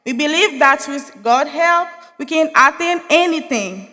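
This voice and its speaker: A young woman delivers an earnest graduation speech. Her voice sits high and sounds bright and forceful. A long reverb from the big hall trails her words. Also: measured pace. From Haiti. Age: young adult. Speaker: graduating student speaker. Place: large hall.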